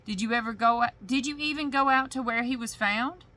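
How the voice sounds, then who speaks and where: A woman voicing a persistent, deadpan male investigator in a bedtime story reading. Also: fairly high pitch, brisk, voice pushed up. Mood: blunt. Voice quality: clipped, deadpan. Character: dry, persistent male investigator, friend of the victim.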